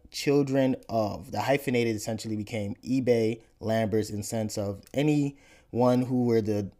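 A man in his thirties from Nigeria, a podcast host explaining his family background in a relaxed interview chat, often repeating words as he thinks. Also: fairly low pitch.

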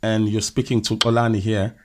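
A man's voice: on the low side, level tone, a little fast. A man hosting a radio request show, taking a caller and asking her questions in a friendly way. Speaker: radio host taking calls. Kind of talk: phone-in radio chat.

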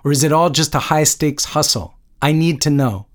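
A man's voice: on the high side, normal speed, lightly acted. A man voicing an indignant, combative woman scientist in a story, blunt, exasperated and emphatic. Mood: anguished, demanding.

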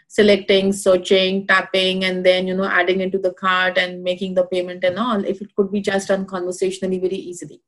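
A woman with an Indian accent speaks in a relaxed, conversational way. Her voice sits at an ordinary middle pitch. She keeps stopping to think with "uh" and "you know" as she explains. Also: fairly quick, few pauses. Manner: many fillers, thinks aloud with "you know" and "uh". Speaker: guest expert. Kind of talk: casual conversational interview.

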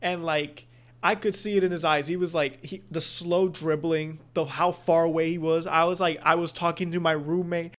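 A man in casual sports chat, excitedly telling a fan story. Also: a little high, normal speed.

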